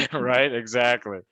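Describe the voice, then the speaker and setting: Bright-voiced American man, relaxed and halting. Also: medium pitch, no echo, poor audio. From Michigan, US. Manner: relaxed, halting, many restarts. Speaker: guest, cannabis advisor. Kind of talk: casual interview talk.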